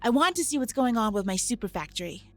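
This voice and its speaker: A woman acting as a high, swooping robot girl in a sci-fi cartoon audio drama. She is proud, easily exasperated and quick with sarcasm. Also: big pitch swings, fairly bright tone, no echo. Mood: grumpy.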